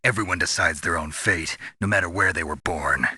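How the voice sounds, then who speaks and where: An actor playing a tough, cynical special-operations soldier in a video game, gravelly and terse with a dry, world-weary manner. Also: male voice, sits low, a little fast, pushed and bright, no echo, heavily acted. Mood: cynical, firm. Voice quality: gravelly, husky. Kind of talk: dramatic video game dialogue.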